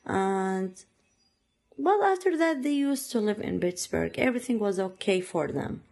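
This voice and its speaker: Slow, halting woman from Iraq. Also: medium pitch, animated, creaky, a little mellow. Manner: halting, many fillers and restarts, second-language phrasing. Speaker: interviewee. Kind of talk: personal story, casual.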